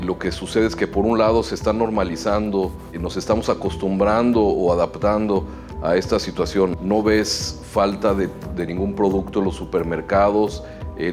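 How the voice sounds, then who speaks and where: A middle-aged man from Mexico with a Mexican Spanish accent, answering interview questions in a measured way with frequent hesitations. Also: low-pitched, darkish tone. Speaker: chamber of commerce president.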